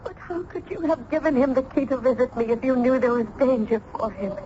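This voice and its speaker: A woman's voice: high-pitched, brisk, warm tone, highs cut off, natural voice. Casting: woman as innocent young maiden, earnest and formal, old-fashioned diction, on a noisy vintage radio play recording. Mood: alarmed, anguished. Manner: earnest, formal, archaic phrasing. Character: gentle, innocent young maiden, a doctor's sheltered daughter. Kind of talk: old-time radio drama acting.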